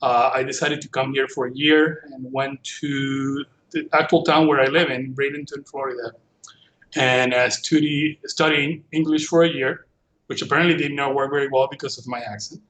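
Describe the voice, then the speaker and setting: A man's voice: mid-range voice, steady tone, normal speed, a bit bright, rough recording. A middle-aged man from Venezuela, a native Spanish speaker with a Venezuelan accent, telling his story casually as a podcast guest. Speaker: podcast guest, computer forensics professional.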